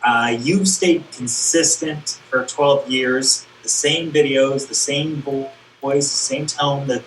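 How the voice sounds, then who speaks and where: A man's voice: medium pitch, fairly even intonation, slow, fairly bright tone, far from the mic. A man hosts a casual interview, rambling his way through questions and throwing in self-deprecating asides. His voice carries a roomy echo. Faint noise sits underneath. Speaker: interview show host.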